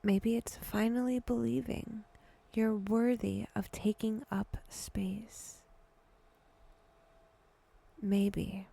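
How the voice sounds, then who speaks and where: A woman guiding a meditation in a hushed voice that drifts toward a whisper, speaking deliberately in short phrases with long pauses. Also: mid-range voice, gravelly, husky. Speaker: guided meditation host. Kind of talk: slow, breathy guided meditation narration.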